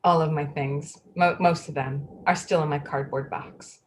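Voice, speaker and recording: Lady acting a fretful middle-aged woman, kinda low voice, stumbles over words, noisy mic. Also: steady tone, lightly acted. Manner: halting, repeating words, fretful. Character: anxious, flustered, middle-aged woman, a little off. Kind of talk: play reading.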